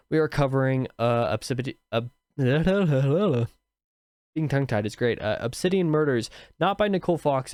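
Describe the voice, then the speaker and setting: A man's voice: medium pitch, a little mellow, dry recording. A young man hosting a casual book review, his voice tired and a little scratchy from talking all day, rambling with many fillers and restarts. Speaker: book review channel host.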